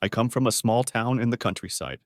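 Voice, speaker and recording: A man teaching beginner English, reading short model sentences clearly and saying each one twice. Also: medium pitch, no room sound, studio quality. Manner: says each phrase twice, clearly and deliberately.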